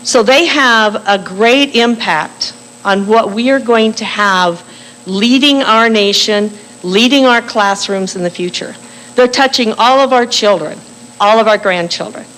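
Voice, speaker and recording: Older woman candidate, firm and deliberate, faint hum behind her, cafe forum setting. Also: on the high side, a bit bright, poor audio. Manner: firm, deliberate, slows down for emphasis. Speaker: political candidate. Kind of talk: campaign speech at a public forum. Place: bakery cafe forum room.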